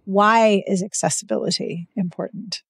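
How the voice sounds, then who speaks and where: A woman's voice: mid-range voice, steady tone, moderate pace, vocal fry, slightly rough, dry recording. Dry-recorded woman hosting a casual interview. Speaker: podcast host, interviewer.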